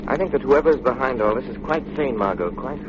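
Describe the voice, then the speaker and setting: A man plays a thoughtful, suave young amateur detective in a vintage radio mystery. His medium-pitched voice is cultured and unhurried. The old recording is muffled, with plain background noise. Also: natural voice. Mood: composed, analytical. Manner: cultured, deliberate, assured. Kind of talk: 1930s radio drama acting.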